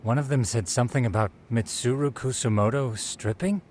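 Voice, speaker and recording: A man's voice: medium pitch, brisk, a little mellow, a little hiss or hum, natural voice. A man playing an inquisitive, analytical investigator who explains things articulately in an English game dub. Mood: analytical, curious. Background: faint background music.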